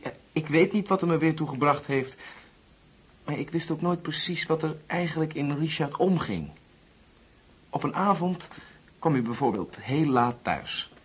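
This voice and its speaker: A man playing a polite, lonely young orphan guest in a Dutch radio play, speaking haltingly and apologetically. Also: on the high side, expressive, slightly rough. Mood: earnest, regretful. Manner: halting, apologetic, with self-corrections.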